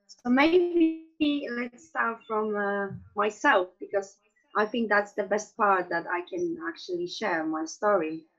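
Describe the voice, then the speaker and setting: A woman in her thirties from Poland, speaking English with a Polish accent. She is a podcast guest telling a personal story earnestly, pausing and restarting often. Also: medium pitch, highs cut off. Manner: earnest, with frequent pauses and restarts.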